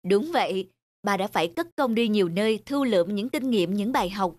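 A woman voicing a proud, studious father turtle in an animated fairy tale, with an instructive, self-important manner. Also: mid-range voice, fairly quick, no room sound, lightly acted.

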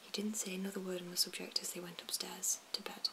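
Woman, whispered storybook reading, soft, even, hissy background, mouth clicks. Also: lowish voice, quick pace.

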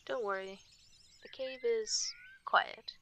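Woman as a hushed, bright-voiced wolf fairy. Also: fairly high pitch, slow, dull audio. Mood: calm, reserved. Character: guarded, protective female wolf fairy of the forest. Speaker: ASMR roleplay performer. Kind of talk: soft ASMR roleplay, often whispered. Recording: nature ambience and birdsong noise. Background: forest sounds, birdsong.

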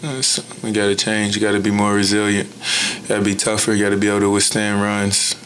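A young man and college basketball player answering press conference questions in a measured, blunt way, with many "you know"s, into a table mic in an indoor press room. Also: fairly low pitch, flat delivery, a bit bright, slight background noise, rough recording. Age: young adult. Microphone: table mic in front.

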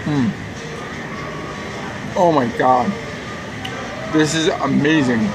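A man's voice: medium pitch, very slow. A man in his thirties giving an enthusiastic, casual food review to camera, talking between bites. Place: outdoors at a theme park table. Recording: noisy outdoor recording with background hum.

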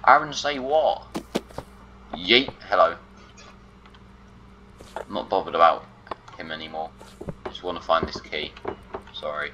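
A man's voice: sits low, a little slow, long pauses, muffled recording, background noise. A man casually commentating his own gameplay, muttering in exasperation and talking to the objects he finds.

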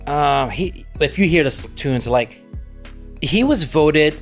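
A middle-aged man co-hosting a podcast, talking in an animated, rambling way into a studio mic in a home room. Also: on the high side, takes it slowly, faint music behind. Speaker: podcast co-host. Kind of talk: casual chat. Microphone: large studio mic.

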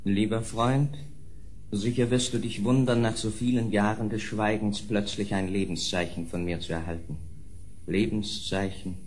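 A man playing a tormented, weary nobleman in a gothic audio drama, speaking formally and haltingly, with anguished pleading. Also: lowish voice, steady tone, darkish tone, close to an everyday voice.

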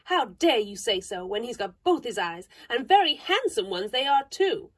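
A spirited tomboy girl fires up indignantly and heartily, in a woman's reading. The voice is breathy and fairly high, as in a storybook. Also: very animated, moderate pace. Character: spirited tomboyish teenage girl, quick-tempered.

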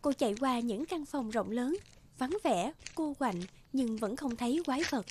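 A woman's voice: on the high side, animated, normal speed, background noise. A woman narrator reading a scripted fairy tale in a storytelling style. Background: music.

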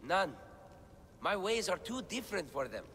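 A man's voice: sits high, very animated, moderate pace, bright, forceful tone, big-room sound, slight background noise, full character voice. An actor voicing an earnest, bookish young man who explains ancient texts in a video game cutscene.

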